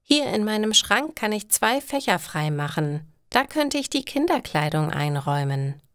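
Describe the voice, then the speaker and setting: A woman voicing a sensible, experienced mother giving advice in a learner's story, measured and clear. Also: medium pitch, very animated, a bit bright, no room sound, studio quality, pitched above the performer's own voice. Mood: advising. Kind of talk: acted quotes in a slow learner story.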